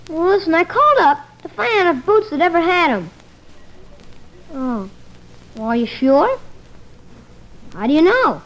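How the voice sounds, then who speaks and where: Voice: high-pitched, fairly bright tone, highs cut off, full character voice. An actor voicing a bored, bedridden young boy in an old-time radio family comedy, plaintive, slangy and given to exaggeration. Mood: put out. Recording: old radio recording with hiss.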